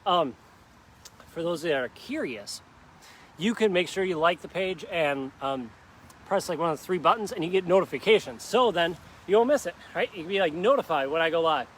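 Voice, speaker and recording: A man's voice: fairly high pitch, lively intonation, fairly quick, fairly bright tone, slight background noise. A man leading a live workout in a park, coaching upbeat and encouraging while doing the moves himself and joking about his own slip-ups. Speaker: fitness instructor. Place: outdoor park.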